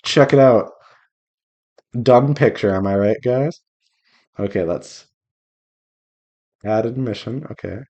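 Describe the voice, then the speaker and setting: A man's voice: mid-range voice, slow, pauses often, darkish tone. A man chatting casually and playfully to himself and his viewers while he draws, rambling along as an indie game developer on a devlog. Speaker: visual novel developer streaming while drawing.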